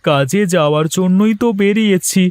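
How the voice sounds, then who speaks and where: Man as a young village helper, high voice, dry close sound, eager, deferential, cartoon. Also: normal speed, darkish tone, clear, resonant tone, no room sound, natural voice. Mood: friendly. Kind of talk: cartoon voice acting.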